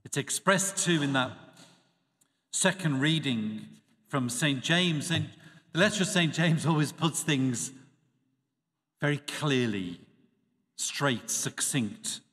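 A man, a priest giving a measured, expressive Sunday homily at a church lectern. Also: a little high. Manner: measured, expressive preaching with dropping phrase ends. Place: church sanctuary. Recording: reverberant church acoustics.

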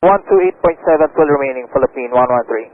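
A man speaking as an airline pilot over aviation radio, giving procedural, halting readbacks and reports. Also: mid-range voice, phone-quality sound. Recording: noisy, band-limited air traffic radio.

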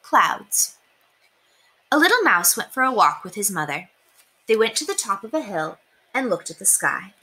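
A young woman reading a children's storybook aloud in an expressive, deliberate way. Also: mid-range voice, slight background noise, poor audio. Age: young adult. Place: small indoor room. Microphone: webcam at desk distance.

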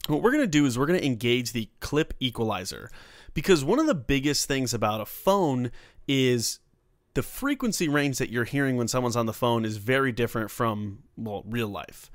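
A man hosts an informal software tutorial in a mid-range voice. He talks through the steps as he works, in a casual way. The voice-over is close and dry, with little room sound. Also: medium pitch, lively intonation, moderate pace, no echo. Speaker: tutorial host.

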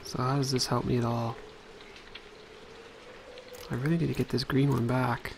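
A man's voice: mid-range voice, level tone, moderate pace, vocal fry, slight background noise. A man giving casual let's play commentary, thinking aloud as he plays.

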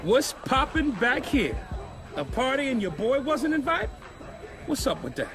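An actor playing an arrogant rival rap label star in a video game, mocking and swaggering. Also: male voice, very high voice, very animated, measured pace, music in the background, a crowd in the background, full character voice. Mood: brash. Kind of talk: video game cutscene acting.

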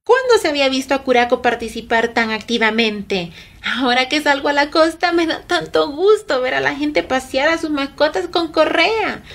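An actress playing an anxious, grateful wife from a coastal town in a radio drama. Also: female voice, high-pitched, lively intonation, moderate pace, a bit bright. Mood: pleased.

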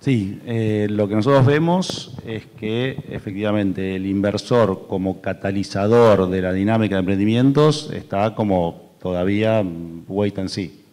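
Low, mellow Argentine man. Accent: Argentine Spanish. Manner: measured, hedging. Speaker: panelist. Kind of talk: conference panel talk. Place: large conference hall stage.

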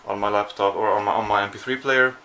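A man's voice: lowish voice, steady tone, quick pace, sharp tone, a little hiss or hum. A young man with a Swedish accent, vlogging casually from his small apartment with a handheld camera, rambling and self-deprecating. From Sweden. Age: young adult. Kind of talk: casual vlog. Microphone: handheld camera at arm's length.

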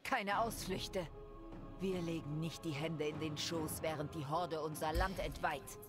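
An actress playing a proud, vengeful elven high priestess in a fantasy game, stern, solemn and commanding. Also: female voice, steady tone, unhurried, music in the background. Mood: defiant, vengeful. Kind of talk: video game voice acting.

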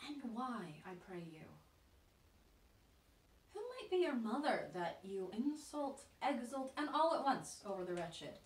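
In an echoey room, a little off the mic, an actress plays a haughty young heroine of classical theatre. She speaks her verse slowly and deliberately, with witty, mocking scorn. Her emphasis is theatrical. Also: on the high side, very animated. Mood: indignant, scornful. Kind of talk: classical stage monologue in verse. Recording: roomy echo, distant mic, faint noise.

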